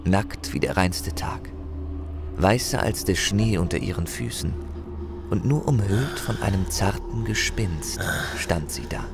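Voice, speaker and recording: A man narrating a fantasy audio drama in a solemn, measured, expressive storytelling manner. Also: sits low, vocal fry, loud music behind the voice, full character voice. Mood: solemn, awed. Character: solemn male narrator of a barbarian saga.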